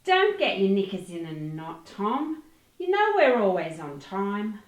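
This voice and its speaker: A woman playing an older, exasperated, sharp-tongued radio actress with a dry manner in a comedy. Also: medium pitch, huge pitch swings, a little slow. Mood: exasperated, patronising. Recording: roomy echo.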